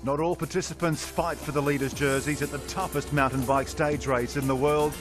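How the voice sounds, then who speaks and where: A man's voice: on the high side, level tone, brisk. Male sports documentary narrator, upbeat scripted read, music underneath. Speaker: race commentator.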